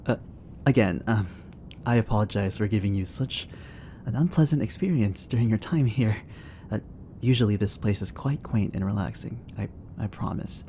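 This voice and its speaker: A man's voice: fairly low pitch, moderate pace, dark tone, slight background noise, lightly acted. A young man acting a shy, flustered barista who is secretly an agent in an ASMR roleplay drama, hesitant, stammering and apologetic. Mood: apologetic, nervous. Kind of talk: ASMR roleplay, acted dialogue spoken to the listener.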